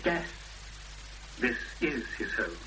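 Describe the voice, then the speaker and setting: An actor playing a wary, guarded man of the valley in a 1970s British radio drama, speaking in halting, clipped sentences. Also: medium pitch, very animated. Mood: wary, tense. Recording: noisy hissy 1970s radio recording.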